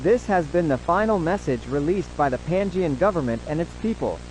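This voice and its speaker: A solemn government emergency announcer played by a man, speaking in a slightly high male voice. The delivery is formal and measured, like an official survival bulletin in an audio drama. A faint hum and hiss sit behind the words. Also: animated, darkish tone, natural voice, rough recording. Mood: grave, resigned. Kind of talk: scripted emergency broadcast narration.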